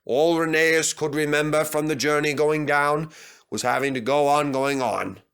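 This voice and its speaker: A man reading a children's story aloud as an expressive narrator. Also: a little high, moderate pace, clean tone. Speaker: story reader.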